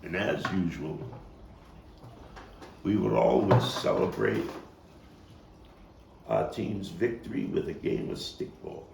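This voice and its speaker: A man performing a theatrical monologue as a haunted Brooklyn man looking back on his boyhood, halting and dramatic with long pauses. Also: sits low, big pitch swings, gravelly, heavily acted. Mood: nostalgic. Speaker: playwright and actor. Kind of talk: theatrical monologue at a table read. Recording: roomy, distant, noisy live capture.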